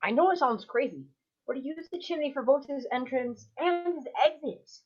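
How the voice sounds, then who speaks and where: A high-voiced young storyteller played by a young woman recounts a Christmas Eve visit as an earnest parent in a radio play. The delivery is dramatic and full of wonder, captured in slightly muffled video call sound. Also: animated, normal speed. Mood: storytelling. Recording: dull video call audio.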